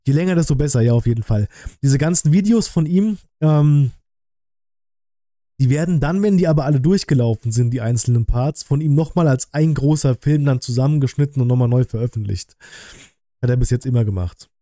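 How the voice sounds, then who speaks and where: Dark, mellow-voiced male streamer. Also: medium pitch, animated, fairly quick, dry recording, clean studio sound. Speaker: streamer hosting a reaction stream. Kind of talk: casual livestream chat. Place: small indoor home streaming room. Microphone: close desk microphone on an arm.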